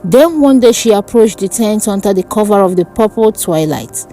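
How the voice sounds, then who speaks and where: A woman narrating a dark folk tale as a storyteller, in a deliberate, theatrical manner. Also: mid-range voice, lively intonation, faint music behind.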